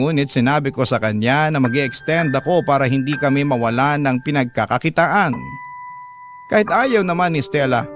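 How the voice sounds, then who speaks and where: A man with a Filipino accent narrating a dramatic story in the first person. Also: mid-range voice.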